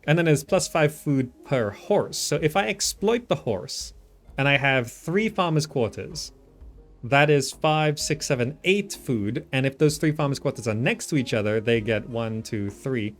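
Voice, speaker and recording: A man giving casual gameplay commentary, thinking aloud and repeating himself as he works things out. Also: medium pitch. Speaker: gamer, channel host.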